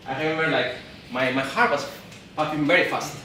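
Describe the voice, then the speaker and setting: A man from Peru in his thirties, speaking English with a Peruvian Spanish accent, gives a live talk to an audience. He speaks haltingly, often repeating and restarting words. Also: on the high side, sharp tone, heavy reverb, far from the mic, a little hiss or hum, poor audio. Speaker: event speaker. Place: event hall.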